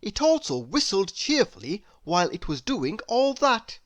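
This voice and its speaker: A woman reading a children's story aloud as an expressive narrator who speaks directly to young listeners. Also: medium pitch, normal speed. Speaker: storybook reader.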